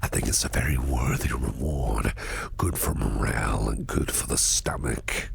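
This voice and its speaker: Sinister businessman boss, extremely deep, slow breathy near-whisper, teasing menace, flirtatious, close-mic ASMR roleplay. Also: a bit bright, a little hiss or hum, full character voice.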